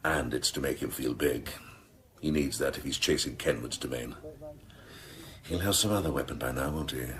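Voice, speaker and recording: A man's voice: normal speed, long pauses. A man playing a scheming, gleefully cynical senior police officer in a radio drama, with a theatrical, sardonic way of relishing his words. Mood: sly, sardonic. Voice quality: very deep, gravelly.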